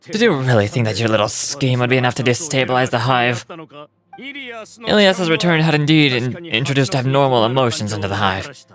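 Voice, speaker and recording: A man's voice: mid-range voice, expressive, moderate pace, lightly acted. A man acting out an arrogant, cold video game villain who rules a hive mind, speaking in a pompous, formal, declamatory way. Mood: menacing, smug. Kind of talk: live amateur voice acting of game dialogue. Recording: loud game music behind. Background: game music.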